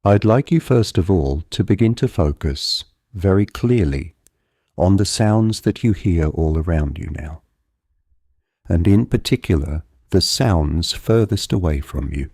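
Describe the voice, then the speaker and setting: Deep, slow, soothing male meditation guide. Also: breathy edge, no room sound. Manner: gentle, calm, deliberate, measured delivery. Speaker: guided visualisation narrator.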